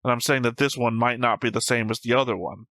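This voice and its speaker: A man's voice: medium pitch, fairly even intonation, fast, a bit bright, no room sound, studio quality. A man co-hosting a reaction show, commenting casually in an insistent, argumentative manner.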